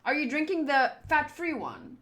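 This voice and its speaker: A woman livestreamer chatting casually to her viewers in a playful, teasing and animated way. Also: high-pitched, moderate pace. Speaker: streamer hosting a game playthrough.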